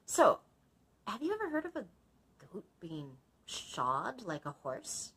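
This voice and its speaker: A woman's voice: mid-range voice, wildly swooping pitch, takes it slowly, fairly bright tone, husky. A woman librarian reading a children's story aloud expressively, in an instructive manner suited to young listeners. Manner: instructive, explains old words to young listeners. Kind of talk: expressive storybook narration with explanatory asides.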